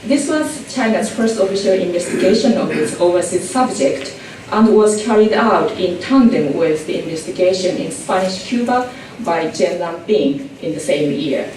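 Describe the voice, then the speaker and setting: A woman's voice: on the low side, lively intonation, moderate pace, darkish tone, a little hiss or hum. A woman from Japan with a Japanese accent, giving an academic conference talk in English with frequent ums and uhs. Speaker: conference presenter. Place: conference room. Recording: echoey hall, mic at a distance.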